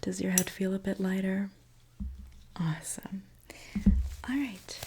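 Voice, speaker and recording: A woman whispering a gentle ASMR roleplay as a reassuring repair mechanic, talking softly and directly to the listener. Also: mid-range voice, fairly even intonation, gravelly, heavy background noise. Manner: soft, gentle, reassuring, unhurried. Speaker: ASMR performer playing a robot repair mechanic.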